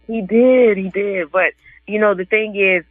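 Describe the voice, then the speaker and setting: A woman's voice: medium pitch, a little slow, no echo. A woman in her forties, an author and guest on a casual radio interview, chatty and laughing often as she quotes other people. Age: 40s. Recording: dry tinny phone line.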